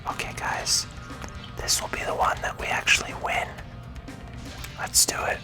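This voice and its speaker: An ASMR gaming host whispering soothing, encouraging commentary to viewers in a breathy voice, close to the microphone. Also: a little slow, music in the background.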